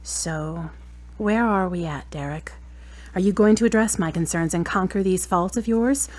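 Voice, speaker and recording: A woman's voice: lowish voice, normal speed, darkish tone, close to an everyday voice. A woman playing a frank, exacting girlfriend in an audio drama, wry and challenging, with pointed questions. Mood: wry, probing.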